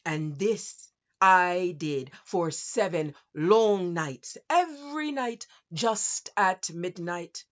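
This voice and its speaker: Lady doing a crazy cunning gothic horror narrator, grenadian accent, slow n lowish, halting and theatrical. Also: dull audio. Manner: deliberate, theatrical, halting phrases. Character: nervous madman narrator insisting on sanity, obsessive and cunning. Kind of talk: dramatic reading of a gothic horror story.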